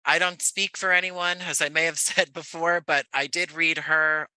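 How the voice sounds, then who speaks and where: A man's voice: fairly high pitch, flat delivery, normal speed, sharp tone, smooth, no room sound, poor audio. An American man speaking as a podcast interview guest, choosing his words carefully and earnestly. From Jersey City, New Jersey, United States. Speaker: interview guest, playwright and actor.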